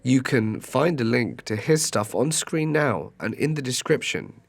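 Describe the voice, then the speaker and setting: A man hosting a horror story channel, hoarse from a cold, narrating and then chatting through an apologetic outro. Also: medium pitch, expressive, measured pace.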